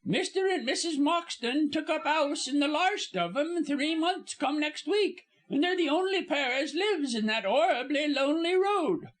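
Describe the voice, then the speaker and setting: A man's voice: extremely high pitch, animated, normal speed, hardly any pauses, a bit bright, pitched above the performer's own voice. A man voicing an indignant, gossipy older Cockney servant woman, wordy and emphatic, dropping aitches, in an audiobook story.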